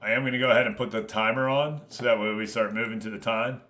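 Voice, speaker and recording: A man's voice: mid-range voice, little pitch movement, fast talker, bright, forceful tone. A man hosting an online football management game league, chatting casually and informally with his viewers as he commentates.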